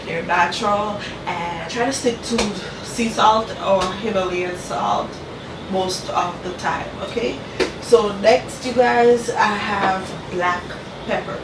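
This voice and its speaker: A woman's voice: mid-range voice, takes it slowly, noisy background. A woman with a congested, nasal voice from a cold, chatting casually as host of a cooking vlog and often saying "you guys" and "okay". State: congested from a cold, talking through her nose. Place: home kitchen. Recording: echoey room, mic at a distance.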